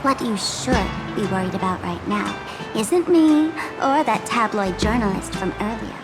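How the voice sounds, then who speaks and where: A woman's voice: medium pitch, expressive, a little slow. A woman voicing a teasing young female trickster who talks in a playful, mock-dramatic, sly way, with game music underneath.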